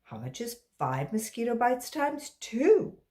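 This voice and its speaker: Lady doing a strict-ish storybook teacher woman, firm, explains slowly, very up and down. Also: on the high side, big pitch swings, darkish tone, voice pushed up. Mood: quizzing. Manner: firm, instructive. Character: brisk, firm woman schoolteacher, insistent and unflappable. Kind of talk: children's storybook read-aloud.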